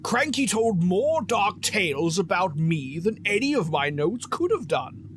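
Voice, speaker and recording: A sinister phantom monster in an audio drama. The voice is high and bright, unhurried and theatrical, full of mockery and menace. A little noise sits in the recording. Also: male voice, sing-song, heavily acted. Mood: sardonic menace.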